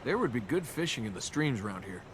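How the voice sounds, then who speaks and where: A man's voice: big pitch swings, fairly quick, close to an everyday voice. A male actor playing a cocky, wry young adventurer in a video game, with a casual, sardonic manner. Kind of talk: natural video game character acting. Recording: game capture with rumbling ambient noise.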